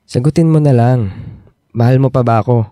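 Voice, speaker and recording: A man's voice: mid-range voice, normal speed, warm tone, no echo, clean studio sound, lightly acted. A man acting an earnest, insecure young boyfriend in a Filipino radio drama, pressing for commitment. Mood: subdued, pleading.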